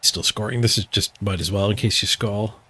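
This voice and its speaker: A man's voice: on the low side, a little fast, bright, forceful tone, breathy edge. A man streaming a video game, giving casual, playful live commentary as he thinks his moves through aloud.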